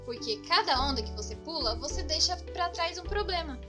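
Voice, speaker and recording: A woman's voice: extremely high pitch, lively intonation, brisk, music in the background. A woman voicing a teasing, bossy young girl in a Brazilian children's cartoon, exclaiming and scolding. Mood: playful. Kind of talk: children's cartoon comedy dialogue.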